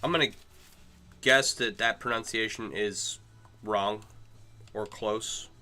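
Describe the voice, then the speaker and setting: A man's voice: fairly low pitch, steady tone, slow pace, bright, forceful tone, faint music behind. A middle-aged man chatting casually on a livestream while he works on chainmail, greeting and answering his viewers. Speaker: chainmail maker streaming live.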